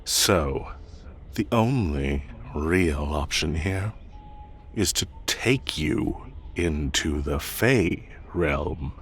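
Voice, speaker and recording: A man's voice: extremely low pitch, animated, slight background noise, heavily acted. A man playing a smug, possessive and menacing fae king in roleplay audio, theatrical and condescending as he speaks directly to the listener. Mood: smug coaxing. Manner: theatrical, condescending, slow deliberate coaxing.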